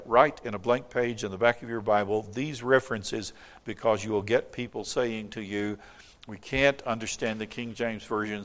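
Guy preaching hard, presses his points, reads scripture out slow and steady. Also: medium pitch. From from outside Australia. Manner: emphatic, repeats words when stressing a point; reads scripture aloud in measured tones. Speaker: pastor. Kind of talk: sermon.

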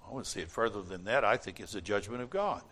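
Male pastor, emphatic sermon delivery, measured scripture reading. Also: on the low side, expressive, a bit bright. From from outside Australia. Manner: emphatic, repeats words when stressing a point; reads scripture aloud in measured tones.

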